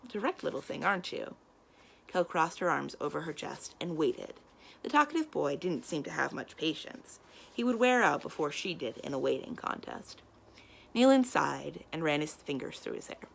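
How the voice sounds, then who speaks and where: A woman reading a children's novel aloud as a storyteller, expressive and giving the characters their own lines. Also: deep voice, moderate pace, vocal fry, low-quality recording.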